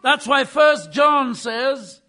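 A man preaching with a very high, bright, ringing voice. He hammers points home with sudden loud bursts, then drops into quiet asides. He is a visiting preacher at the pulpit. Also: lively intonation, drawn-out words. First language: not English. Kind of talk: sermon.

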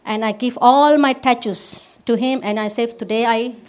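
A woman from Malaysia, giving a personal testimony at a church service in halting English with restarts. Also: fairly high pitch. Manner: halting, with restarts and simple non-native grammar.

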